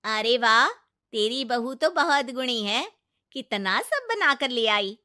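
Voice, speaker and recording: A woman voicing a gossipy older friend of the mother-in-law in a cartoon story, loud and chatty. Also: a little high, expressive, takes it slowly, dry recording, studio quality, full character voice.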